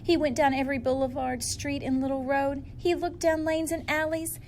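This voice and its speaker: A woman reading a children's story aloud in a playful, expressive way, leaning on words for emphasis. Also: high voice, slight background noise. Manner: animated, playful, drawn-out emphasis. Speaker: storybook reader.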